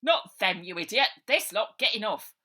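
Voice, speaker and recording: A woman's voice: mid-range voice, animated, normal speed, sharp tone, voice pushed up. A woman voicing a pompous, officious fat old male police sergeant in a storybook. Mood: exasperated. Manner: officious, bossy.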